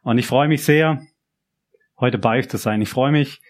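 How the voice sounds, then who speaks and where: A man's voice: mid-range voice, normal speed. A young man with a southern German accent giving a personal, conversational youth church sermon, often repeating and restarting phrases. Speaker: preacher.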